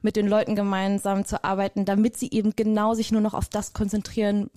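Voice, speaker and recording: A woman from Germany speaks as an interview guest, with a mellow, soft-edged tone. She talks with lively emphasis and likes to repeat words to stress them. The sound is dry and close, with no room echo. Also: medium pitch, quick pace. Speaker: guest, personnel developer. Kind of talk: casual interview talk.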